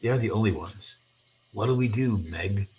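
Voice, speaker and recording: A man's voice: lowish voice, measured pace, a little mellow, close to an everyday voice. A man voicing a level-headed, doubtful husband from a comic in a matter-of-fact way. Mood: firm doubt.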